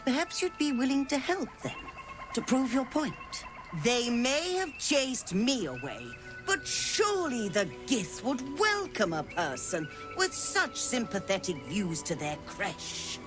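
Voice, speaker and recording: Haughty, wheedling schemer. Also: female voice, a little high, sing-song, measured pace, music in the background. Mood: scheming. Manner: theatrical, wheedling, affected. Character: scheming, haughty female merchant agent.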